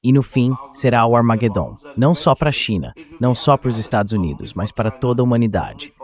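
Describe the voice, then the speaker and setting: A man's voice: medium pitch, big pitch swings, fast, a little mellow. A man with a Brazilian accent speaking as a dubbed interview guest, giving political commentary in an emphatic, didactic manner.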